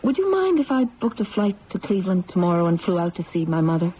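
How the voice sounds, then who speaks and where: A woman's voice: fairly low pitch, big pitch swings, quick pace. A woman playing a troubled, earnest wife in a radio drama, speaking seriously and hesitantly. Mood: subdued, troubled. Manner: tense phrasing, serious, hesitant. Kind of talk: 1970s radio drama acting. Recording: muffled old radio recording, faint noise.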